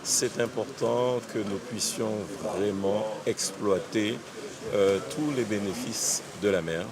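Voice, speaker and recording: A man's voice: on the low side, slow. A man giving an official's interview statement, emphatic, building lists with repeated phrases. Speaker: local elected official. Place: busy public event. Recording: loud crowd chatter behind voice.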